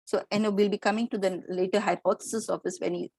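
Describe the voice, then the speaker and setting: A woman's voice: medium pitch, steady tone, fast, noisy background. A middle-aged Indian woman giving an explanatory lecture to teachers, with fillers and restarts. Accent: Indian English.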